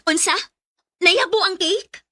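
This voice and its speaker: An actress with a Cebuano Filipino accent playing a bossy, sharp-tongued young businesswoman in a radio drama. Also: extremely high pitch, animated, measured pace, no room sound, studio quality, exaggerated voice. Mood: furious, exasperated.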